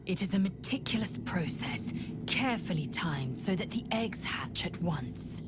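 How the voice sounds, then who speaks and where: A woman's voice: fairly low pitch, lively intonation, measured pace, over loud music. An actress playing a proud, disdainful alien warrior woman in a video game, speaking in formal, haughty declarations. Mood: matter-of-fact. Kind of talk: video game character acting.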